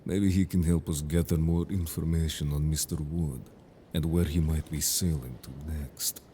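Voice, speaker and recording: A stern merfolk guard played by a man speaks in an extremely deep, dark voice over noticeable noise. He is formal and measured, a protective, serious interrogator from a fantasy audio drama. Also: fairly even intonation. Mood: probing, stern. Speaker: voice actor in an audio roleplay. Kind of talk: scripted audio drama roleplay.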